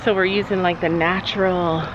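A woman's voice: fairly low pitch, fairly even intonation, normal speed. A woman in her fifties from Canada, a mother hosting a family vlog, chatting in a rambling way. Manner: chatty, rambling, coos at animals. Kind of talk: casual vlog chat. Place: home office, then car and horse barn. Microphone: handheld camera at arm's length. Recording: dull lo-fi camera audio, faint background noise.

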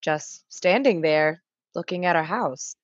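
A woman's voice: lowish voice, unhurried, no echo, lightly acted. A woman playing a skeptical, teasing mother and wife in a radio play, with dry sarcasm, on a slightly lo-fi recording. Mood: watchful. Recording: slightly dull, lo-fi sound.

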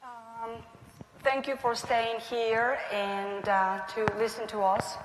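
A middle-aged woman from Mexico with a Mexican accent, speaking as a panelist in measured, listed points with frequent pauses. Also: a little high, flat delivery, in a large hall, background noise. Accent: Mexican Spanish. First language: Spanish. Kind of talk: panel discussion. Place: conference stage in a large hall. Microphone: lapel.

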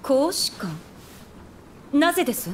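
A woman's voice: high-pitched, big pitch swings, takes it slowly, slight background noise, heavily acted. A woman playing a polite, earnest young physician in a Japanese video game, courteous and composed.

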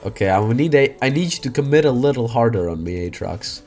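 A young man talks through his match in a loose, chatty way, breaking into loud, cursing outbursts. His voice sits at an ordinary middle pitch for a man. Faint music hums under him. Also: fast talker. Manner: loud swearing outbursts, excitable. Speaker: game streamer. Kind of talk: casual gaming commentary. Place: small room indoors. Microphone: headset.

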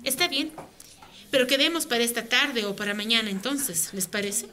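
Outspoken female rights advocate, radio drama, fairly high voice, insistent, direct, bright. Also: lively intonation, moderate pace. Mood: firm, persuasive. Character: firm, outspoken women's rights advocate, adult woman.

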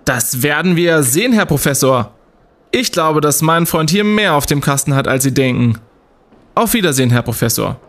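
An actor playing a cheeky, curious university student detective in an audio drama, theatrical and flippant. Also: male voice, on the high side, animated, moderate pace, a bit bright. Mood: defiant.

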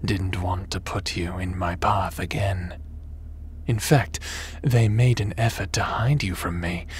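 A man playing a cold, scheming crime boss in a sci-fi audio drama, speaking in a menacing, measured, smug and theatrical way. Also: low voice, steady tone, a little hiss or hum. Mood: smug, gloating.

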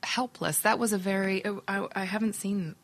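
A woman's voice: mid-range voice, moderate pace. A woman in her thirties from Australia with an Australian accent, chatting casually as a guest on an interview podcast and laughing easily between thoughts. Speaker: actress guest.

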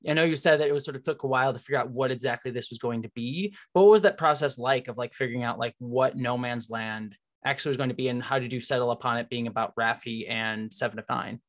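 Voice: medium pitch, fairly even intonation, fast talker, few pauses. An interview host chatting casually as an enthusiastic fan on a video call, effusive and rambling, often saying "like". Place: indoor room on a video call.

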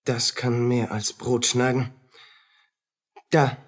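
Lady playing haunted soldier guy in a radio play, breathy husky voice, slow broken phrases. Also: extremely low pitch, flat delivery, exaggerated voice. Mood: grim. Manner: halting, brooding, broken phrases. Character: haunted, jealous downtrodden male soldier hearing voices. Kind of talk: German radio play acting.

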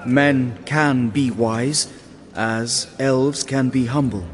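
An actor playing a proud, stern elf prince in an audio drama, speaking with formal, commanding gravity. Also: male voice, medium pitch, fairly even intonation, takes it slowly, close to an everyday voice. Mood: solemn, calm. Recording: echoing cavern reverb with music.

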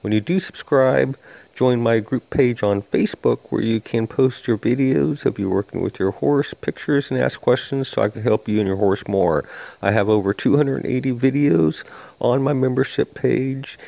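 A calm, plain-spoken man explains horse training from the saddle, his mellow voice caught by a head-mounted action camera outdoors. He talks at an easy pace with plenty of fillers. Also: medium pitch, normal speed, creaky, no echo, dull audio. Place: outdoors on a wooded trail, riding a horse.

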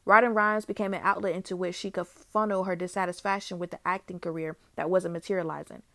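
A woman's voice: lowish voice, flat delivery, fast, fairly bright tone, no echo. Woman reading a biography, dry sound. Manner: reads steadily, with small restarts. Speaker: YouTube host. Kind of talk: read-aloud biography narration.

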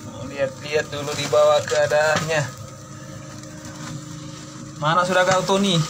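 A man's voice: fairly even intonation, unhurried. A man with an Eastern Indonesian accent giving casual running commentary as a miner filming on a handheld phone. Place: cramped underground mine tunnel. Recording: loud rumbling noise, handheld phone.

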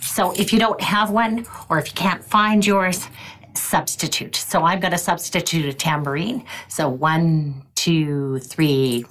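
An older woman music educator leading a webinar demonstration, her voice croaky, often clearing her throat and counting beats aloud. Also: low voice, fairly even intonation, unhurried, a bit bright, a little hiss or hum.

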